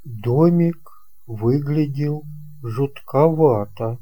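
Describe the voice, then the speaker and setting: A man narrating a children's tale in an unhurried, expressive storytelling manner. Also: medium pitch, faint music behind. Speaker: audiobook narrator.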